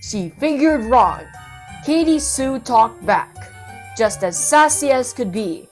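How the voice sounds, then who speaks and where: A woman reading a children's story aloud as an expressive narrator, with dramatic, rhythmic and playful delivery. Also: on the high side, slow. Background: children's music.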